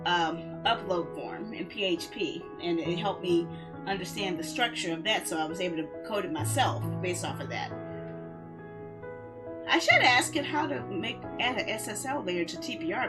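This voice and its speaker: A woman game streamer talking casually to her viewers in a rambling, conversational way. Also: medium pitch. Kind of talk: casual livestream chat.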